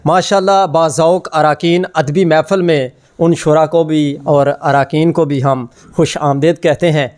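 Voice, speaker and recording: A man's voice: a little high, steady tone, darkish tone. Male poetry host, formal and fluent. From Pakistan. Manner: drawn-out approving cries. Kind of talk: formal Urdu hosting with exclamations of praise.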